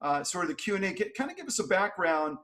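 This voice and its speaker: Middle-aged male host, fast-paced, upbeat, higher-pitched, webcam call. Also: big pitch swings, fairly bright tone. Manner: upbeat, many "uh" fillers. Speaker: podcast host. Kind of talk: video call interview. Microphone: webcam on video call.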